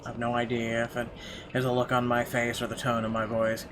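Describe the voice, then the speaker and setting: A man's voice: medium pitch, completely flat delivery, a little fast, noisy background. A man reading a horror visual novel's narration aloud as a gamer, in a dramatic storytelling way with occasional stumbles. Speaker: let's-play gamer. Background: game music.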